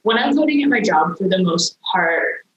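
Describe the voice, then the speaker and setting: A young woman, a software engineer and guest, explaining things in a casual interview with frequent "um" fillers; her home language is Hindi. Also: medium pitch, expressive, normal speed, echoey room, muffled recording. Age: young adult.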